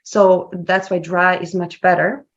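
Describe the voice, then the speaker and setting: A woman's voice: fairly low pitch, level tone, unhurried, muffled recording. A woman from Slovakia, answering interview questions as a wellness clinic founder, explaining at length and often repeating and restarting her words.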